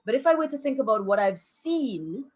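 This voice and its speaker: A woman, speaking as a panelist in a live discussion in a frank, reflective, measured way. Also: fairly high pitch, big pitch swings, a little mellow, breathy edge. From Trinidad and Tobago. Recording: dull lo-fi audio.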